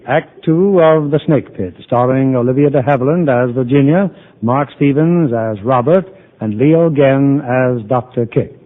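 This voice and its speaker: A man's voice: medium pitch, a little slow. A man announcing a radio drama and its cast in a 1940s broadcast style. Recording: lo-fi old radio broadcast, narrow band.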